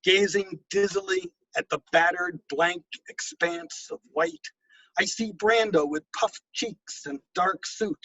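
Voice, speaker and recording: A man reading his own poetry and introducing it, with a measured, deliberate delivery. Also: high-pitched, animated, fairly bright tone, slightly rough, no room sound, lo-fi sound. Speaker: poet reading own work.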